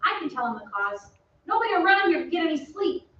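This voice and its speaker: A woman's voice: extremely high pitch, big pitch swings, fast talker, lo-fi sound. A woman playing a griping, mocking American soldier in an old-time radio play, with slangy sarcasm. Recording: very echoey stage, distant mic, noisy.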